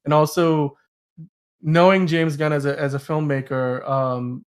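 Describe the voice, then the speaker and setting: A man's voice: on the high side, flat delivery, unhurried, clear, resonant tone. A man hosting a casual film discussion podcast, talking in a rambling, emphatic way and often stumbling over his words. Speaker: podcast host.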